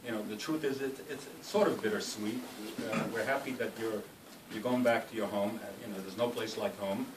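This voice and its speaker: A man with a cold giving a rambling, self-deprecating farewell speech, full of halting restarts. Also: on the low side. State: has a cold. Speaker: speaker at a farewell party. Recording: roomy hall, distant mic, noisy.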